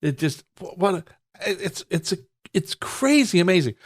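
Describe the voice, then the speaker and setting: A man's voice: high voice, sing-song, moderate pace, breathy edge. A stuffed-up man with a slightly raised voice rambles through casual podcast banter. He swears freely and stumbles over restarts, often laughing. His tone stays loose and chatty. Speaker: podcast co-host.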